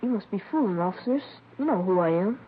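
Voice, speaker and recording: A woman's voice: medium pitch, animated, fast, lightly acted. A chatty, offhand boy who is pretending to be someone else , voiced by a woman. He dodges questions with easy talk in a 1950s radio crime drama. The old recording is dull with a faint hiss. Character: runaway boy passing as another, evasive. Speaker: boy impostor.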